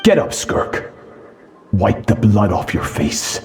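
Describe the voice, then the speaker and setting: Slow, merciless sword master. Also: male voice, lowish voice, sing-song, a little mellow, reverberant, faint music behind. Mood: merciless, commanding. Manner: grave, commanding, deliberate delivery. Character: stern, merciless male sword master hardening his pupil. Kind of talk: dramatic video game voice acting.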